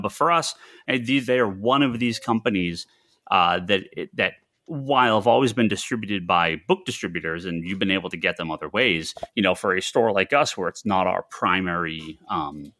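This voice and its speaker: An American man co-hosting a casual discussion of industry news, often halting and repeating phrases. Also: low voice, sharp tone, no room sound. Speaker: podcast co-host.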